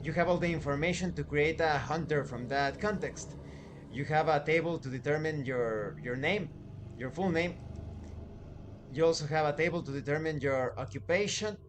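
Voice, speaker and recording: A man presenting a tabletop game review as channel host, deliberate and emphatic, pausing and stretching key words. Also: fairly high pitch, background noise. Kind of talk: scripted-sounding review presentation.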